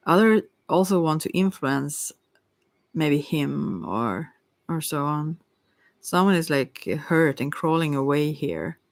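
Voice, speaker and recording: A casual tarot reader speaks in a medium-pitched, soft voice that sometimes slips into whispering. The delivery is hesitant and rambling, as if thinking out loud. The sound is dry, with no room echo. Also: creaky. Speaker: tarot reader hosting a live reading. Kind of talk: casual intuitive tarot reading, answering listeners' questions.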